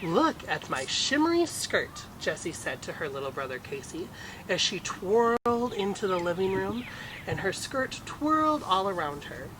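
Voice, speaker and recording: Animated storytime reader with noisy sound. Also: mid-range voice, moderate pace. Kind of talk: expressive children's picture book reading.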